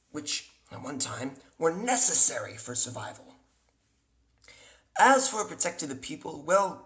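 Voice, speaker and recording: A man's voice: fairly high pitch, reverberant, rough recording. A man voicing an unhinged, brooding, articulate homicidal maniac in a theatrical comic dub. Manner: theatrical, erratic, swinging from hushed to shrieking.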